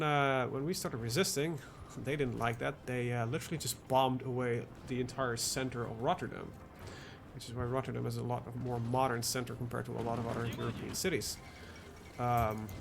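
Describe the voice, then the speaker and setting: Guy rambling over a strategy game, kinda gravelly voice, some music in the back. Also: medium pitch, a bit bright. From Netherlands. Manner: rambling, chatty, trails off with fillers. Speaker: gaming video host. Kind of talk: casual gameplay commentary.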